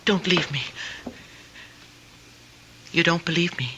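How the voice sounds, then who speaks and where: An actress playing a frightened, confused lonely woman in an old-time radio drama, halting and pleading as she repeats herself. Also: on the low side, lo-fi sound, noisy background. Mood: agitated, pleading. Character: frightened, confused, fretful lonely woman insisting on her story.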